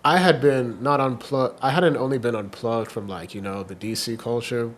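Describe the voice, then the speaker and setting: A young man from Washington, D.C., a guest on a podcast, telling his story in relaxed, rambling chat with plenty of fillers. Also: medium pitch, normal speed, slight background noise. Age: young adult. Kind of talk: casual interview chat.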